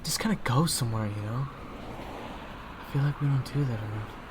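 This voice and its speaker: A man's voice: mid-range voice, brisk, noisy background. A young man performing an audio roleplay in a casual, wry manner.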